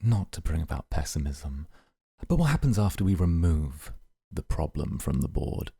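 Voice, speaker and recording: Man acting a very deep, gravelly mafia boss. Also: big pitch swings, mellow, no echo, studio quality, heavily acted. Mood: calculating, calm. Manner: measured, formal, restrained. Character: composed, calculating male mafia boss, coolly amused. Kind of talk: ASMR roleplay acting.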